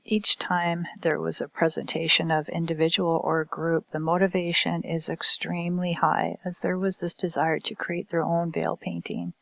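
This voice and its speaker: A woman giving a recorded academic presentation as a language instructor, speaking in a halting, hesitant way. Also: fairly low pitch, little pitch movement, vocal fry, a bit bright, no room sound, dull audio.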